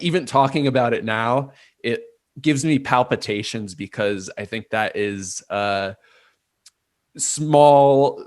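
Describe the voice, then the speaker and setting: Reflective New man, low voice. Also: no room sound, clean studio sound. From Port Chester, New York. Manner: reflective, thinks aloud from many angles. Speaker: guest, artist and designer. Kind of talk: casual interview conversation.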